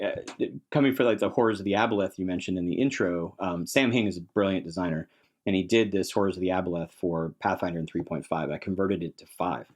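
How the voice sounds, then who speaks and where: A man talking casually and enthusiastically as a podcast guest, with emphatic phrasing, in a discussion of tabletop game monsters. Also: lowish voice.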